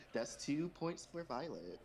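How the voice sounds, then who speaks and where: A man's voice: fairly high pitch, sing-song, slow pace. A man hosting a casual group question-and-answer chat on a voice call. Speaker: host of a fan comic dub Q&A stream. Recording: noisy home microphone.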